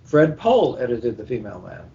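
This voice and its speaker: A man's voice: medium pitch, very animated, a little fast, gravelly, warm tone. An older man talking casually as a panel guest, rambling through anecdotes like a seasoned book editor. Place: live convention recording over internet call. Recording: dull, muffled internet call.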